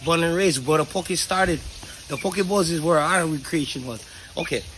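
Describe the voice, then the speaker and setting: High-voiced Hawaiian Pidgin-speaking man, candid and playful. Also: normal speed, a bit bright, a little hiss or hum, low-quality recording. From Kahuku, Hawaii. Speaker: interviewee. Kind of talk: casual personal storytelling.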